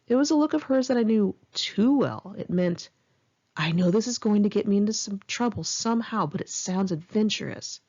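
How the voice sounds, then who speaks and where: A woman's voice: medium pitch, expressive, moderate pace, a little mellow, dull audio. A middle-aged woman reading her memoir aloud in one take, candid and wry, with casual swearing. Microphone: home microphone.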